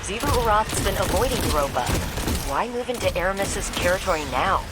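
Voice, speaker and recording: An actress playing a wry, confident adventurer in a video game, casual and sardonic. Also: female voice, mid-range voice, expressive, brisk, loud music behind the voice, natural voice. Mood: wry, focused. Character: wry, confident female adventurer guiding a soldier. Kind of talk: scripted video game acting.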